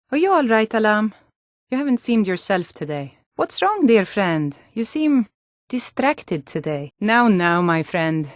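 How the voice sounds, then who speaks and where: A woman voicing a sturdy, plain-spoken Nord townswoman in a fantasy game, speaking scripted lines in a measured way. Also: mid-range voice, no echo, clean studio sound, close to an everyday voice. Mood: coaxing, frank.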